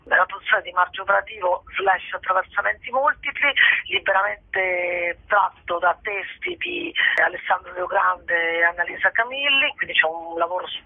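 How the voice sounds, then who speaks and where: Gravelly woman on a tinny phone line. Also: mid-range voice, lively intonation, moderate pace. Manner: hesitant, searching for words, rephrasing often. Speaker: theatre company member interviewed about a show. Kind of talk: spontaneous radio phone interview. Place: on a phone call. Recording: tinny, echoey telephone line.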